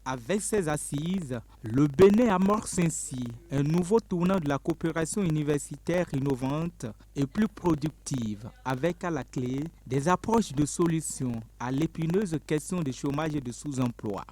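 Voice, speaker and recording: A man's voice: fairly high pitch, measured pace, a little mellow, a little hiss or hum. A man reading a scripted news report as a reporter.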